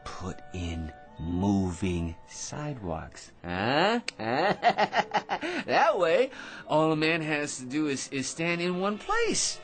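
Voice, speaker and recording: Tipsy, rambling man, wildly swooping pitch. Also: big pitch swings, slow pace, faint music behind. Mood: rambling, amused. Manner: slurred drunken rambling, stammering, giggly. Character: tipsy, rambling man full of silly schemes. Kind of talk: radio drama acting.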